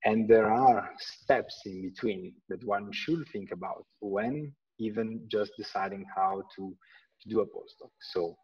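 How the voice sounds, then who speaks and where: A man in his thirties from Italy, talking in a low, husky voice. He co-hosts an online panel in a relaxed, joking way and pauses often to think. The audio is muffled and sounds distant from the mic on a video call. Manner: relaxed, joking, thinks aloud with many fillers. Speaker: co-host and postdoc. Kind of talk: casual online panel chat.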